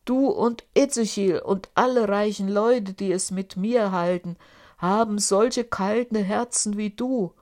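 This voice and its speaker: A woman voicing a boastful, greedy male giant in an audiobook, speaking loudly and scornfully. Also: takes it slowly, close to an everyday voice. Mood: insistent. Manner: loud, booming, scornful. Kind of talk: audiobook character voice.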